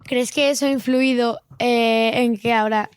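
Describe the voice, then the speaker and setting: A woman's voice: fairly high pitch, steady tone, normal speed, clear, resonant tone. A woman asking informal interview questions as a volunteer, in a hesitant way.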